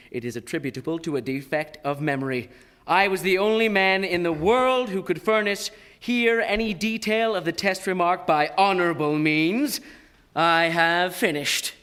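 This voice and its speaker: Self-righteous townsman, male actor, fairly high voice, formal and pointed testimony, radio play. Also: a bit bright. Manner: precise, oratorical, pointed. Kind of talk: stage radio drama. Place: playhouse stage before a live audience.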